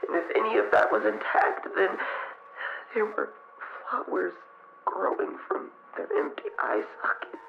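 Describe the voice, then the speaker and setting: A performer voicing a frightened young fox in an audio drama, halting and tearful, slipping between cries and whispers. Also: mid-range voice, very animated, creaky. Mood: terrified, tearful. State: crying, frightened. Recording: muffled, noisy radio-style recording.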